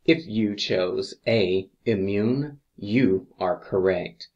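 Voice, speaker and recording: Man, lowish pitch, very slow pace, deliberate instructor, scripted quiz review, pauses between options. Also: darkish tone, lo-fi sound. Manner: measured, deliberate reading with pauses between answer choices. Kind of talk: scripted exam review narration.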